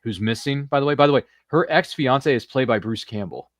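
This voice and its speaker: A man's voice: mid-range voice, lively intonation, a little fast, no echo, studio quality. A man hosting a podcast in a casual interview style, chatty and given to repeating phrases. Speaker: podcast host.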